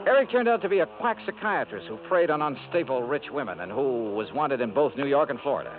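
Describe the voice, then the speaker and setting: A man as a tough, wry insurance investigator, clipped matter-of-fact delivery, lively pitch, tinny old radio sound, music behind. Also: a little fast, lightly acted. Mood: dry, reporting. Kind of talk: 1950s radio drama acting and narration. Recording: tinny lo-fi 1950s radio broadcast.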